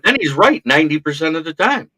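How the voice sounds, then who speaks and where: A man's voice: on the high side, fast, no echo. A man hosting a casual sports podcast, talking in a practiced radio voice and poking fun at himself. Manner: self-deprecating, animated storyteller with a practiced radio voice. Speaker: podcast host. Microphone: podcast mic.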